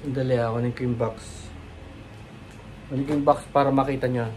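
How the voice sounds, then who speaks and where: A man's voice: mid-range voice, flat delivery, fairly quick, mellow. A Filipino man giving a casual, rambling product demo in short fragments. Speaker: product reviewer. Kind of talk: casual unboxing and demo chat. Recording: echoey, noisy room, amateur karaoke-mic demo.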